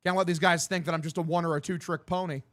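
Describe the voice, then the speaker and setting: A young man in his twenties with a distinctly high voice for a male. He streams baseball commentary mixed with casual chat, in loose, slangy language with plenty of swearing and a bro-like way of addressing people. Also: steady tone, fast talker, a bit bright. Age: 20s. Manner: profane, slangy, bro-like talk. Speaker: sports streamer calling a baseball game and answering chat.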